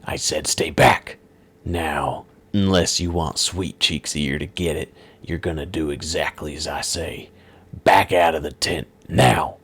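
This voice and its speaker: A man voicing a gruff, menacing kidnapper who threatens in a slow, hissing half-whisper. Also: extremely low pitch, fairly bright tone, heavily acted. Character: gruff menacing male kidnapper, knife-wielding thug. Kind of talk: audiobook reading.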